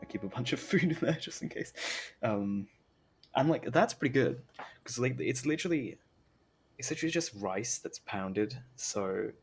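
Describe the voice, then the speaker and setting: A man's voice: fairly low pitch, slight background noise. A man doing casual live gameplay commentary as a streamer, rambling and informal with slangy phrasing. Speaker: game streamer.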